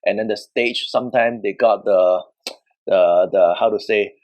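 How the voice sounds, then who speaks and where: A man's voice: on the low side, steady tone, unhurried, dull audio. Casual man with a Hong Kong accent. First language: Cantonese. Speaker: professional wrestler, interview guest. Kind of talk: casual storytelling.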